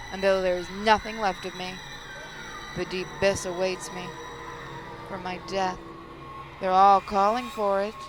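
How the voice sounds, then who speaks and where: A woman's voice: medium pitch, poor audio. A woman hosting a gaming video, reading a visual novel aloud expressively, with casual asides. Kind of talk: dramatic first-person story reading with casual asides. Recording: clear game music behind the voice. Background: game music.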